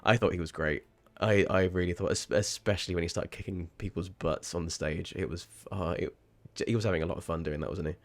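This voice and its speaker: A man's voice: low voice, steady tone, fast talker, hardly any pauses. A man chatting casually while streaming a game, rambling and sweary, with sudden startled outbursts. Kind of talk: casual livestream chat.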